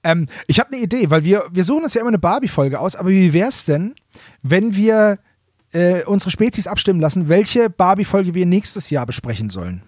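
A man's voice: high voice, lively intonation, brisk, darkish tone, dry recording, clean studio sound. A man chatting casually as a podcast co-host in a playful, ironic way. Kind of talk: casual chat.

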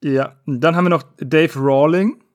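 Ein Mann, der als Quizleiter in einem lockeren Podcast Begriffe vorliest und erklärt. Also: male voice, on the high side, steady tone, moderate pace. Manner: reads definitions aloud, explains. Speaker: quiz host. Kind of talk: casual podcast quiz.

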